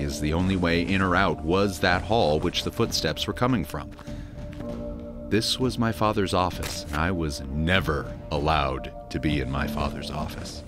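A haunted adventurer played by a man speaks in a very low, brooding voice, telling his tale like audio drama. Loud music runs beneath him. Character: haunted young adventurer recounting a childhood dream. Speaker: character narrator in an audio drama. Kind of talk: dramatic first-person storytelling.

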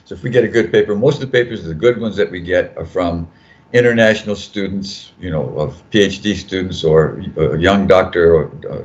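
Low-voiced older man rambling on a video call. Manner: rambling, self-correcting, joking. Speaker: journal editor on a panel. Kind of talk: casual panel talk. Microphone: video call mic. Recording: dull lo-fi video call audio.

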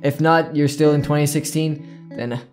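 A man's voice: on the high side, fairly even intonation, normal speed, a little mellow, soft background music. A young man chatting casually to his viewers in a rambling way, often repeating himself. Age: young adult. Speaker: video creator, gaming YouTuber. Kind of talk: casual chat to camera. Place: small indoor room with plain backdrop.

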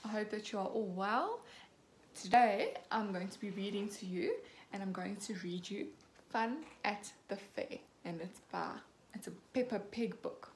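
A young woman in her twenties, a teacher, reading a picture book aloud to children slowly and clearly, acting out the characters. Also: mid-range voice, expressive. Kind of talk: children's storybook read-aloud. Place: small room at home, indoors. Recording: echoey room, faint background hiss.

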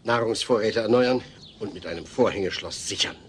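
An actor playing a pedantic, long-suffering family father, formal and measured, in a German sitcom audio play. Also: on the low side, lightly acted. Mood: weary, exasperated. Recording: faint hiss, old cassette audio.

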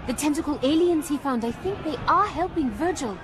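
A woman's voice: high voice, expressive, fairly quick. An actress playing a determined young woman trying to save her father, earnest and urgent. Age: young adult. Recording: game audio log with background noise.

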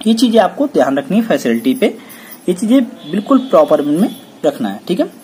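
Female instructor, mellow tone, faint hiss; walks through a checklist point by point, confirming each with "okay". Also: fairly low pitch, sing-song, a little fast. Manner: repeats "ठीक है" after each point, reads English terms then explains in Hindi. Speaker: welding instructor. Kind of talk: technical lesson explaining a checklist.

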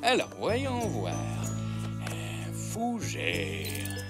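Very animated old grandpa zebra. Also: male voice, on the low side, drawn-out words, loud music behind the voice, exaggerated voice. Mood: curious, puzzled. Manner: animated, courteous, expressive. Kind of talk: French children's cartoon acting.

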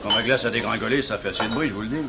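An actor playing a streetwise, evasive bistro owner in a radio drama, slangy and grumbling. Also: male voice, on the low side, very fast, music in the background, close to an everyday voice. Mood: evasive, indignant. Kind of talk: French radio drama acting. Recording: clearly noisy recording.

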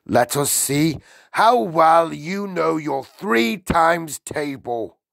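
A huge, bullying headmistress from a children's storybook , acted out by a man. The voice booms and barks, jumping up high and loud with wide swings. Every phrase is hectoring, menacing and scornful. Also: lively intonation, drawn-out words, gravelly, no room sound, studio quality, exaggerated voice. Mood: barking command. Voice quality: booming, barking. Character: enormous tyrannical headmistress, a bellowing, insulting bully woman.

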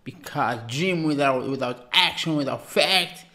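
A man in his thirties from Algeria with an Algerian accent, hosting a casual music reaction in halting, excitable English, talking into a close microphone in a small room. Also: on the high side. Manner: excitable, halting non-native English with restarts. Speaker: reaction channel host. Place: small room with dark blue backdrop. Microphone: studio mic just in front of face. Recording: faint guitar music behind. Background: guitar music playing.

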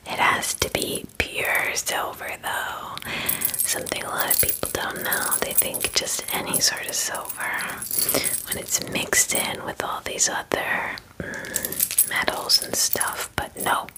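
A woman in her twenties whispers softly and gently in a chatty, unhurried ASMR personal-attention roleplay, close to the microphone. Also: low-quality recording. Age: 20s. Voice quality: soft, breathy whisper. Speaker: ASMR performer playing a werewolf spa groomer. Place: small indoor room.

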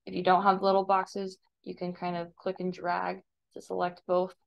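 An American woman teaching a step-by-step software tutorial in an informal, instructive way. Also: on the low side, monotone, moderate pace, vocal fry, highs cut off. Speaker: digital design teacher. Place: voice-over for a screen recording.

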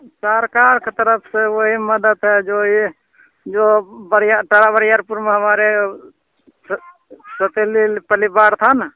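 A working man from Bihar with a Bihari accent speaks in a high voice, halting and deferential as he answers questions. He is heard over a muffled, lo-fi phone line. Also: monotone, clear, resonant tone, no echo. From Bihar, India. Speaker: migrant labourer, interviewee.

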